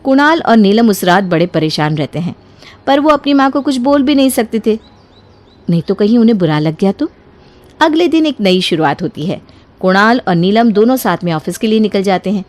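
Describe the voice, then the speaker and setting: A woman's voice: mid-range voice, sing-song, normal speed, darkish tone. A woman narrator telling a scripted family story in a storytelling style.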